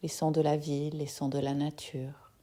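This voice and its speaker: A soft-spoken woman guiding a yoga nidra relaxation in a soothing manner, leaving long pauses between phrases. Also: low voice, little pitch movement. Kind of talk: guided meditation.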